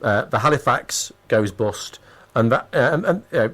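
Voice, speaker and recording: Male radio interviewer, conversational and emphatic, lively swooping intonation, repeats phrases for weight. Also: medium pitch, normal speed.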